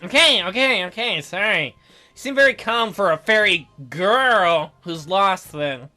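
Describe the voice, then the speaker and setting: A bright-voiced man with very animated, swooping intonation reads a visual novel aloud as a let's play host. He is theatrical and jokey, slipping in his own commentary. Faint music plays behind him. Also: high voice, measured pace.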